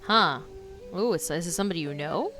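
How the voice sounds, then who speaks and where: A woman streamer giving casual, informal and expressive reactions while playing a game. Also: on the low side, a little fast, music in the background. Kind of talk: casual gaming commentary.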